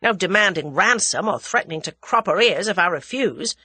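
Woman playing a brash, forceful American businessman. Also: on the low side, expressive, a little fast, husky, no echo, heavily acted. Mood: suspicious, threatening. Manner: blunt, forceful, slangy. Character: brash, impatient American millionaire man of thirty-five.

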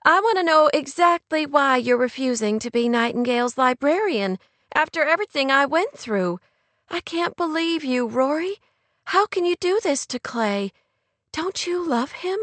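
A woman narrator acts out a distraught young woman from a romance audiobook. Her voice is pitched very high and sounds tearful and sharp. She pushes and demands with sarcastic, near-hysterical urgency, recorded dry. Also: lively intonation, normal speed, dry recording. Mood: accusing, hurt. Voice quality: trembling, tearful.

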